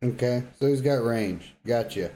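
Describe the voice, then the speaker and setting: A man's voice: medium pitch, unhurried, warm tone. A man co-hosting a podcast, trading casual banter in wry, informal interjections. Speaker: podcast co-host.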